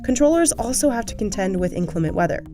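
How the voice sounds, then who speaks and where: Woman narrating over soft music. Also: mid-range voice, expressive, quick pace. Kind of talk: scripted documentary narration.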